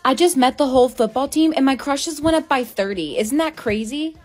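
A woman's voice: high voice, a little fast, soft background music. A woman acting confident, blunt female characters in a scripted comedy skit. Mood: boastful.

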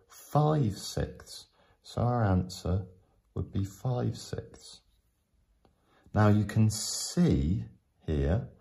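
Low, slow-talking male teacher. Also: creaky, warm tone. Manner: patient, explanatory, with frequent pauses. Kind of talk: step-by-step maths tutorial narration.

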